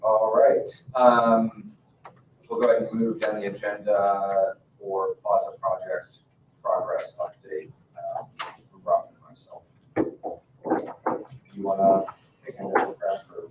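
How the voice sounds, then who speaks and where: A man's voice: on the low side, monotone, unhurried, long pauses, slight background noise. A man chairing a public meeting, moving procedurally through the agenda. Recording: muffled phone-like, echoey, distant room recording.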